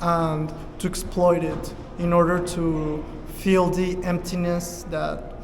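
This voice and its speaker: A man answering street questions in a measured, reflective way. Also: a little high, little pitch movement, creaky. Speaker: interviewee. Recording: reverberant, noisy outdoor audio.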